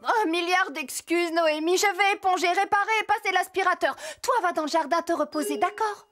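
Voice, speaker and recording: A woman's voice: extremely high pitch, fairly quick, over music. A woman voicing a cheerful, scatterbrained young house fairy in a children's cartoon, bubbly and playful. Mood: apologetic, eager. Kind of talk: animated children's cartoon acting.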